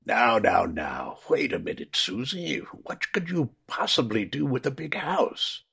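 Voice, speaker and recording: A man acts out a kindly old Santa Claus for a radio play. His voice is husky and breathy with a gravelly creak. He speaks gently and courteously, with lively swings of pitch. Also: measured pace, heavily acted. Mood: gently concerned. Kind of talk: 1940s-style radio play acting.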